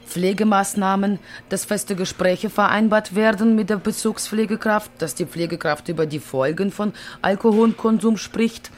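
A woman's voice: mid-range voice, fairly even intonation, normal speed, few pauses, slight background noise, natural voice. An actress playing a female care worker who reports in a plain, official, matter-of-fact way.

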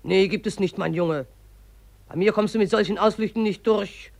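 An actress in a radio play voicing the river itself as a gruff, mocking old woman who scolds in an earthy, derisive way. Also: medium pitch, moderate pace, a little mellow, dry recording, lightly acted. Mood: stern, scolding. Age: older.

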